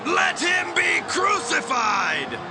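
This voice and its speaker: An actor playing a furious member of a mob in a dramatized scripture recording. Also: male voice, extremely high pitch, wildly swooping pitch, slow, exaggerated voice. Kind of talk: dramatized scripture audio acting. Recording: loud crowd and music over voice.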